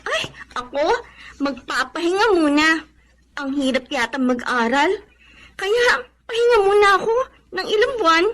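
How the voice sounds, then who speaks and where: A woman's voice: extremely high pitch, lively intonation, normal speed, a bit bright. An actress playing a carefree, chatty young woman, easygoing and friendly, in a radio drama. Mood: breezy. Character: breezy, carefree young female graduate, friendly hostess.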